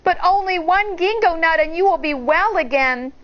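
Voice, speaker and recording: A woman's voice: extremely high pitch, expressive, normal speed, highs cut off, voice pushed up. A woman voicing a clever young eldest sister in a storybook, coaxing sweetly in a sing-song way. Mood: feigned helplessness.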